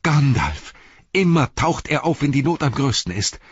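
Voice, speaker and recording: A man voicing a frightened, excitable young hobbit in a storybook reading, with urgent, breathless exclamations. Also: mid-range voice, moderate pace, breathy edge, dry recording, muffled recording, voice pushed up. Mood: excited, cheering.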